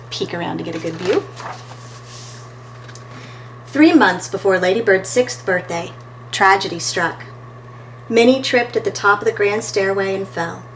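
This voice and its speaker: A woman's voice: medium pitch, a little slow, long pauses, a little hiss or hum, poor audio. A woman reading a picture book aloud as a storytime host, expressively and talking to her listeners between pages.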